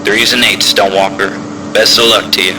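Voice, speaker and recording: A man playing a steady, seasoned trucker giving frank, measured advice in CB slang in an audio drama. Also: lowish voice, close to an everyday voice. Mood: calm, advising. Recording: noisy CB radio in truck cab.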